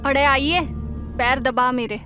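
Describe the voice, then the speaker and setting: A woman's voice: high voice, fairly even intonation, unhurried, pushed and bright, soft background music. A woman with a Haryanvi accent playing a scolding, domineering middle-aged mother-in-law in an audio drama. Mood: scornful, angry.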